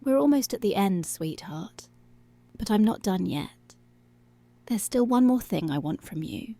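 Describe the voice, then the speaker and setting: Woman acting a slow, crackly-voiced, seductive commanding policewoman. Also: mid-range voice, animated, dry recording. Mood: firm, possessive. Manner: slow, hushed, breathy, deliberate commands. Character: dominant, commanding, seductive policewoman, possessive yet tender. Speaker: ASMR voice actor. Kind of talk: intimate ASMR roleplay, half whispered. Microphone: close, dry microphone.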